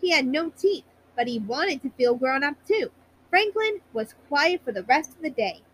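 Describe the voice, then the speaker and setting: A woman storyteller narrating a children's bedtime story in a high-pitched voice. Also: animated, normal speed, a bit bright, a little hiss or hum, rough recording.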